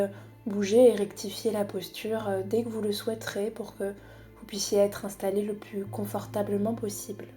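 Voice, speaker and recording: A woman guiding a body scan meditation in a calm, unhurried, soothing manner with long pauses. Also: medium pitch. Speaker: psychologist guiding a relaxation exercise.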